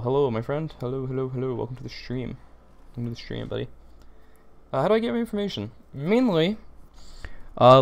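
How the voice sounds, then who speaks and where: Teen male streamer, deep voice, rambling casual delivery, mid pitch. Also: creaky. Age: teens. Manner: rambling, repeats phrases, many fillers. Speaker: livestream host. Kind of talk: casual livestream chat reading comments.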